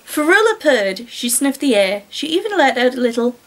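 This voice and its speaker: A woman's voice: high-pitched, very animated, normal speed. A woman reading a story aloud and breaking off for casual, sarcastic commentary. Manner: wry, sarcastic asides.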